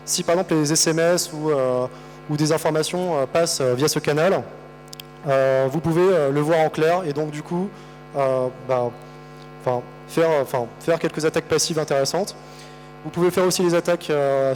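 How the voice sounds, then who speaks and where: A man giving a technical talk to an audience in a halting, hesitant and informal way. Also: on the high side, a little mellow, poor audio. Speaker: conference speaker.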